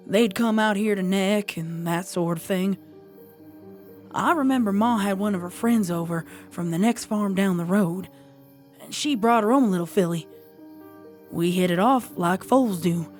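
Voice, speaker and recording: A woman voicing a frightened, haunted young farm pony mare, stammering and halting in a dramatized horror story. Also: medium pitch, a little mellow, natural voice.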